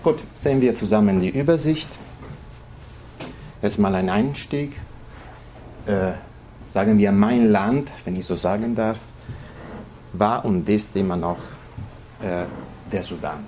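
Halting Italian-accented man giving a lecture. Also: on the low side, mellow, a little hiss or hum. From Italy. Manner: halting, searching for words in non-native phrasing. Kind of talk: informal lecture presentation.

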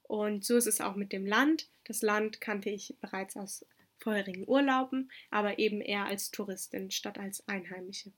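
A young woman whose first language is Turkish, calmly giving orderly interview answers about her semesters abroad. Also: medium pitch, moderate pace. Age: young adult. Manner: calm, orderly, explanatory. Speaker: exchange student interviewee.

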